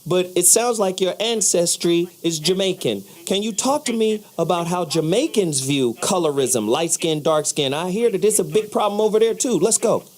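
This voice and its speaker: A man's voice: high voice, lively intonation, moderate pace, few pauses, darkish tone. Emphatic male talk show host. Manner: emphatic, lots of "mm-hmm" backchannel. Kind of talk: radio talk show chat.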